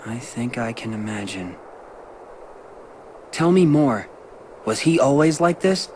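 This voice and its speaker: An actor playing a guarded but earnest young male game hero, calm, sincere and gently encouraging. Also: medium pitch, slow pace, slight background noise. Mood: cool, curious. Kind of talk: video game cutscene dialogue. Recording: game audio with loud music behind.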